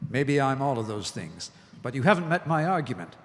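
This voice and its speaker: In a reverberant hall, an actor plays a grave army colonel in an audio drama. He argues forcefully and deliberately, with a mid-pitched male voice. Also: very animated, lightly acted. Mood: grave, urgent. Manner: deliberate, argumentative military speech. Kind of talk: live audio drama acting. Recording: live stage recording in echoey hall.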